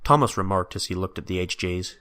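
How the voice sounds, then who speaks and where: A man's voice: sits low, fairly quick, sharp tone, slight background noise. A man reading scripted story narration.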